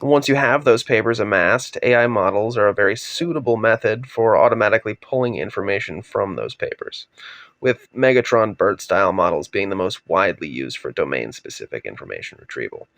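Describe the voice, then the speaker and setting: A young man with a voice raspy from a cold, steadily narrating a slide presentation on his undergraduate research project. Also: on the low side, brisk, no echo. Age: young adult. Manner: steady, explanatory, trails off at sentence ends.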